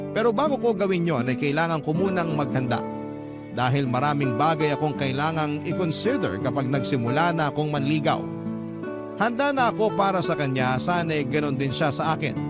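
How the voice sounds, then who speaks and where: A man's voice: on the high side, lightly acted. A man voicing an earnest, lovestruck Filipino narrating his love story in heartfelt, reflective radio style. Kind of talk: scripted first-person radio narration.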